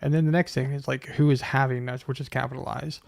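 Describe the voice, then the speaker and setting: A man with plenty of fry in his mid-pitched voice talks casually. He is blunt and scornful as he comments. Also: level tone, fast talker, darkish tone, dry recording. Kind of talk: casual chat, critical commentary.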